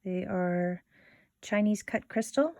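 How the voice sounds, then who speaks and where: A woman hosting a casual step-by-step craft tutorial in an informal, unhurried way, thinking aloud as she works. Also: medium pitch, dark tone, slight background noise.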